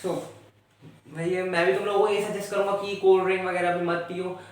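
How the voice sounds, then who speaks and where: An exhausted male schoolteacher, as a sketch performer plays him, ranting on and on at his class in mixed Hindi and English. The voice sits at a middling pitch and comes from some way off the mic. The room around it is very echoey. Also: little pitch movement, fairly quick, distant mic, a little hiss or hum, natural voice. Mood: exasperated. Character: fed-up male schoolteacher, tired. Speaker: teacher in a classroom skit. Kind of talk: comedy sketch acting.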